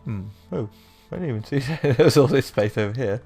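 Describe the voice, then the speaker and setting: A young man with a sore, croaky throat, rambling casually through let's-play commentary with lots of fillers. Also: mid-range voice, animated, fairly quick, warm tone, faint music behind. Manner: rambling, self-deprecating, with many fillers. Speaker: gaming YouTuber.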